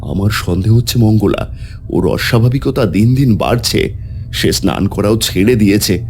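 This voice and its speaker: A man's voice: fairly low pitch, moderate pace, a little mellow, faint music behind, close to an everyday voice. A man playing a frightened, guilt-ridden father in a cartoon drama, with an anguished, faltering delivery. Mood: uneasy. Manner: anguished, hesitant, stammering. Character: frightened, remorseful father, a wealthy householder. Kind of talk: dramatic cartoon story acting.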